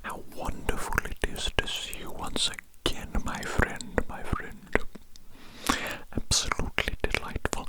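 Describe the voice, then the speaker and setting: Someone doing an odd alien doctor guy pretending to be human, all breathy asmr whisper, keeps repeating himself, stiff formal words. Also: close to an everyday voice, rough recording. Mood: soothing, eager. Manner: repeats phrases, stilted formal wording. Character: eager, odd alien doctor posing as a human man of science. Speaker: ASMR performer. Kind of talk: ASMR roleplay, slow breathy whispering with soft repetition.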